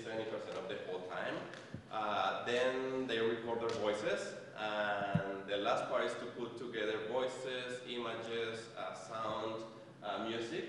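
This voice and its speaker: A man, a settlement worker, presenting to an audience, halting often with fillers and restarts. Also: fairly low pitch, a bit bright, a little hiss or hum. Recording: echoey hall, far from mic.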